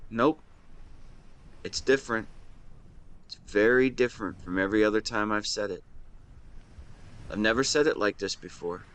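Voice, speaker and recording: A man playing a shy young man in love in a romantic audio roleplay, speaking in an earnest, halting, emotional way. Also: lowish voice, a bit bright, close to an everyday voice. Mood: soft, affectionate. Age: young adult. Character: shy young man tenderly confessing love to best friend.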